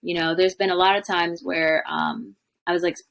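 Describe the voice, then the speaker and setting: A woman's voice: on the low side, little pitch movement, normal speed, vocal fry, a bit bright, slight background noise. A young woman, a language coach, chatting casually on a video call from a home room, with lots of fillers. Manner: chatty, many fillers, laughs often. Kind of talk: casual interview chat.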